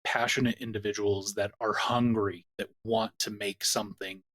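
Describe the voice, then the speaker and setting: A man's voice: fairly low pitch, little pitch movement, moderate pace, fairly bright tone. A man, the producer of an audio drama, answering interview questions in an earnest, reflective way.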